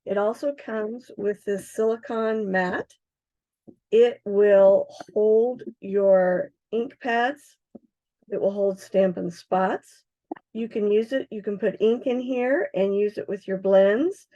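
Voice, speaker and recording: A middle-aged woman with a hoarse, froggy voice, chatting casually as she demonstrates craft supplies on a livestream, with many ums and uhs. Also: medium pitch, takes it slowly, mellow, muffled recording. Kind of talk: casual live product demonstration.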